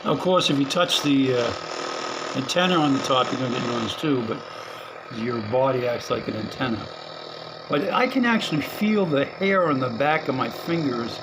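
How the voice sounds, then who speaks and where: A middle-aged man casually narrating his radio repair in a small spare room at home, halting with fillers and soft chuckles. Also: mid-range voice, background noise. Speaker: radio hobbyist vlogger.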